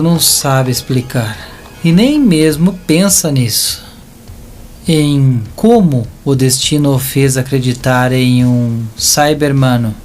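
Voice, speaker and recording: A man's voice: medium pitch, a little mellow, slight background noise. A man with a Brazilian accent reading scripted narration for an audio drama. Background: music.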